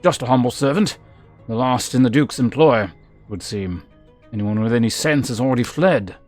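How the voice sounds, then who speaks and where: A man's voice: mid-range voice, moderate pace, soft background music, lightly acted. Man playing a gloomy, humble castle servant. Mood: resigned. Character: humble last servant of a duke, gloomy and cautioning. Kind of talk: fantasy game character dialogue.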